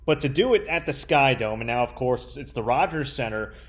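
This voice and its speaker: A man's voice: mid-range voice, animated, fairly quick. A young man hosting a sports interview, conversational, with wordy and enthusiastic lead-ins to his questions. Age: young adult.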